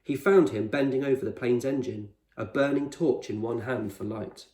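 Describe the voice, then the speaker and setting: A man's voice: mid-range voice, normal speed, warm tone. A man reading a children's novel aloud as scripted narration, like a teacher to a class. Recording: roomy echo, distant microphone.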